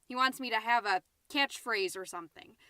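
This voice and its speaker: A woman's voice: on the high side, normal speed, bright, forceful tone, smooth, dry recording. A woman playing a chatty, scatterbrained, overexcited middle-aged suburban mom who hosts a comedy podcast, rambling, interrupting herself and stressing words theatrically. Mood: chirpy, self-satisfied. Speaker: podcast host. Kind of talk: comedic character monologue as a homemade podcast.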